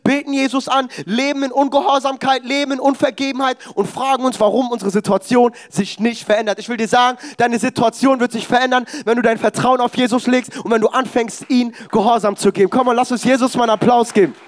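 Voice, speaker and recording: A man's voice: very high voice, steady tone, quick pace, hardly any pauses. A young man preaching a sermon in a church service, exhorting the congregation with emphatic, personal testimony.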